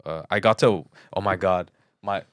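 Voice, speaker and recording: A man's voice: sits low, normal speed, no echo, studio quality. A man co-hosting a podcast in casual chat, telling personal stories emphatically and repeating words for stress. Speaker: podcast co-host.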